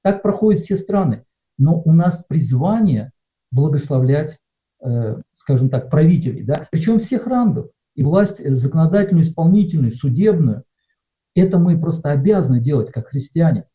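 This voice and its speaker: A man's voice: a little high, expressive, normal speed, mellow. A middle-aged man from Russia preaching a sermon in a conversational way, with many hesitations. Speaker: pastor. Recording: dull lo-fi sound.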